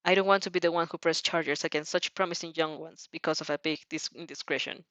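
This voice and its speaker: A woman voicing a stern, formal male dog-like police chief in an audio story, with measured speech. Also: low voice, fairly even intonation, fairly bright tone, dry recording. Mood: formal, conceding.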